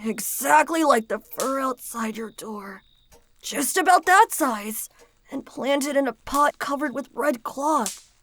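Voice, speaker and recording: A roguish little boy in an audio drama, high voice, earnest and eager, kind kid. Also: takes it slowly, a bit bright, heavily acted, rough recording. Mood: excited wonder. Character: eager, kind-hearted roguish young boy, apologetic friend.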